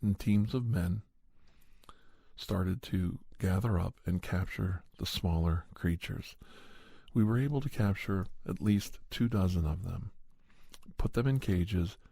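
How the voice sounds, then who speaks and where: Low, soft-edged man telling an ASMR story. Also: takes it slowly, gravelly, husky, dry recording. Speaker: ASMR storyteller and podcast host. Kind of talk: ASMR first-person horror story narration.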